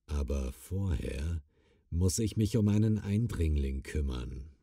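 An actor playing a brooding, doom-laden horror writer in an audio drama, ominous and deliberate, often dropping to a whisper. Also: male voice, very deep voice, lively intonation, gravelly, warm tone, slightly rough, full character voice. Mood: weary, matter-of-fact. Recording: music bed under the voice.

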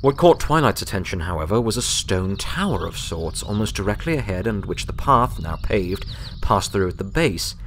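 A man's voice: fairly low pitch, expressive, normal speed, noisy background. A man reading scripted fiction as a story narrator.

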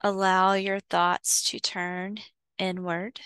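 A woman leading a guided visualization in a soothing, instructional way, pausing between short phrases. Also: mid-range voice, little pitch movement, a bit bright, clean tone, dry recording. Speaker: guided meditation host. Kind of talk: guided visualization, meditation narration.

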